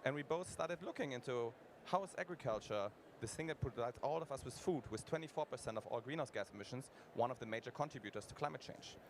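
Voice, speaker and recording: A man giving a conference pitch as a startup co-founder, addressing the audience directly and engagingly. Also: expressive, quick pace, hardly any pauses, slight background noise.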